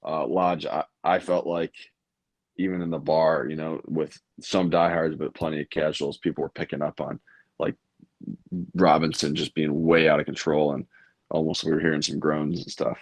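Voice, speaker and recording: Low, raspy young man hosting. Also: flat delivery, normal speed, no echo. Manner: rambling, lots of fillers and restarts. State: tired. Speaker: podcast host. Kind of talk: casual sports chat.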